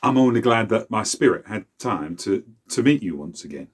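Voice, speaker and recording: A man voicing the spirit of an old male wood elf, a craftsman father, speaking deep and grave. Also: expressive, normal speed, slightly rough. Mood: solemn, tender.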